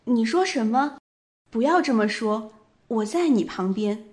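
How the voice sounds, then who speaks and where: A woman's voice: fairly high pitch, animated, unhurried, a little mellow. A woman acting a caring bedside companion in a radio play, pleading and reassuring. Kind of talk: amateur radio drama reading of a stage play.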